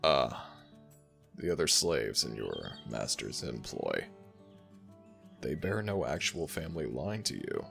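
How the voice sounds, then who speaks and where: An ancient jackal god, as a man acts him out, speaking in an extremely low, gravelly rumble. He talks slowly and lets his pitch roll up and down as he teases the listener affectionately. Soft guitar music plays faintly underneath. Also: big pitch swings, heavily acted. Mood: playful warm. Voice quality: very deep gravelly voice, slow and soothing. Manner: affectionate, playfully self-mocking. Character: ancient jackal god, gentle, teasing, fond. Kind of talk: ASMR audio roleplay.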